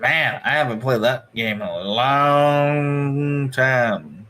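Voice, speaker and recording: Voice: medium pitch, slow, fairly bright tone, slight background noise. A livestream host chatting casually, rambling along in short offhand remarks and reactions.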